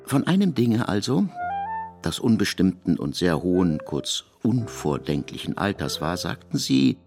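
An actor playing the narrator of an ancient biblical saga in a radio play, with measured, ornate phrasing. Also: male voice, low voice, sing-song, vocal fry, music in the background, full character voice. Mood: measured, erudite.

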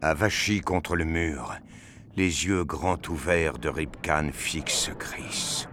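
A man narrating a scripted action story with deliberate, intense dramatic delivery. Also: rumbling low, a bit bright, a little hiss or hum. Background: prominent music.

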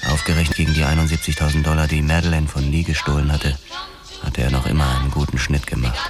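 Very deep-voiced, world-weary detective. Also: male voice, little pitch movement, quick pace. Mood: sober, resigned. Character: world-weary disgraced American police detective narrating his downfall. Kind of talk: scripted first-person radio drama narration. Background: music.

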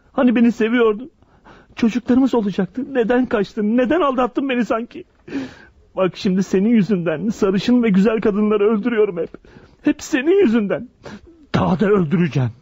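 A deranged killer in a radio play, with a notably high voice. He broods in anguish over lost love and pities himself. The sound is dry and muffled. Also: animated, normal speed, darkish tone, slightly rough, no echo. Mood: anguished, brooding. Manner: anguished, brooding monologue delivery. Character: deranged lovesick male killer, obsessed, self-pitying. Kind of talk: Turkish radio drama acting.

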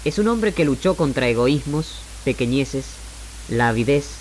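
A man presenting scripted, literary radio narration in a measured manner, in the style of the 1960s. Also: medium pitch, expressive, slight background noise. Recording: archived 1960s AM radio recording. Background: music.

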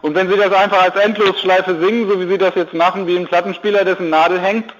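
A young male store employee heard through a dull telephone line. His voice sits fairly high, and he deals with the caller in an obliging, wryly amused manner. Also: level tone, quick pace. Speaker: store employee taking a customer call. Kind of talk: casual customer service phone conversation.

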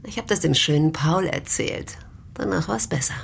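An actress in a German radio drama playing a tough, guarded prostitute who talks curtly and bluntly. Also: female voice, sits low, normal speed, creaky, a little hiss or hum. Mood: defiant, confiding. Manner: curt, wary, blunt. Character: tough, guarded red-haired brothel prostitute, streetwise and proud.

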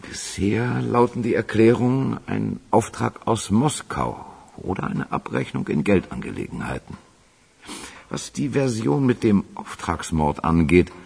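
Radio crime drama: methodical, deferential functionary, strongly creaky and husky, long hall reverb. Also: male voice, lowish voice, big pitch swings, a little slow, full character voice. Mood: formal, businesslike. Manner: formal, methodical. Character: deferential male official reporting to his powerful boss.

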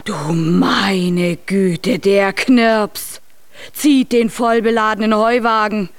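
A woman's voice: medium pitch, fairly even intonation, slow, a little hiss or hum. A woman playing an astonished, incredulous farmer's wife in a children's radio play.

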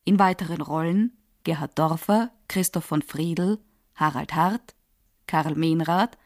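A woman's voice: deep voice, a little slow, no echo. A woman reading radio drama credits as a formal announcer.

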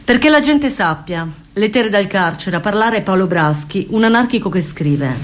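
A woman's voice: medium pitch, expressive, normal speed. Mid-range female voice, ironic, declamatory, scripted satirical stage narration.

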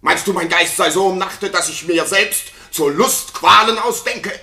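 A man's voice: sits high, moderate pace, vocal fry, full character voice. An actor playing a jealous, suspicious king in a classical radio play, declaiming theatrical verse with intensity. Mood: outraged. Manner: intense, declamatory, swinging from hushed to frenzied. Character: jealous, suspicious king raging at his supposedly unfaithful wife. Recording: roomy studio reverb.

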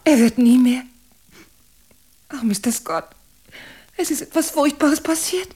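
Elegant, breathy, earnest lady. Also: high-pitched, unhurried, a little mellow. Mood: distraught. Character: elegant woman of Spanish descent, earnest and emotional. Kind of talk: German radio drama acting.

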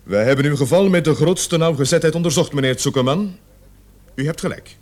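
An actor playing a stern, commanding police officer in a radio play, authoritative and emphatic. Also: male voice, fairly high pitch, a little fast, a little mellow, natural voice. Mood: methodical, grave. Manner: authoritative, pressing, theatrically emphatic.

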